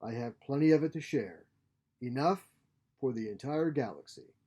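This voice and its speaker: A man's voice: mid-range voice, expressive, moderate pace, darkish tone, husky. A man voicing a genial grandfatherly scientist for a story, courteous, proud and theatrical in manner. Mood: proud, enthusiastic. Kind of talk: storybook character voice.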